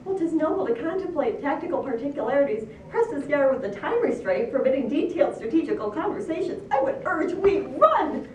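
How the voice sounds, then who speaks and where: A panicky little storybook pig, acted out by a woman, squealing very high. The speech is fussy, pompous and full of archaic flourishes, heard in a roomy, echoing space. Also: sing-song, brisk, hardly any pauses, dull audio. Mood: flustered. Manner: florid, archaic, over-formal wording. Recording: roomy echo, distant mic, faint noise.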